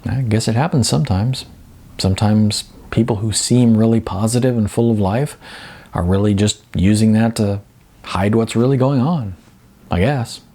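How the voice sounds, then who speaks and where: A man reading a novel aloud as a calm, resigned friend of almost fifty, matter-of-fact and a little tentative. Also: lowish voice, moderate pace. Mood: reflective.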